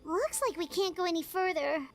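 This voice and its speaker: A woman voicing a tiny, childlike floating fairy companion in a video game, squeaky and excitable. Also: normal speed, faint music behind. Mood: alarmed. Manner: squeaky, exclaiming, cartoonish. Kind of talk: scripted video game dialogue.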